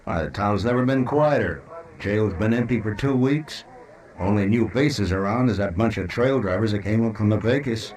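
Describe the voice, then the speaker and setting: A man plays a steady frontier lawman in a classic American radio Western. His voice is on the low side, dry and understated. He speaks plainly, like an unruffled older brother to the townsfolk. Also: normal speed, natural voice. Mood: reassuring, weary. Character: steady, plain-spoken male US marshal of the frontier. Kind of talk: 1950s Western radio drama acting.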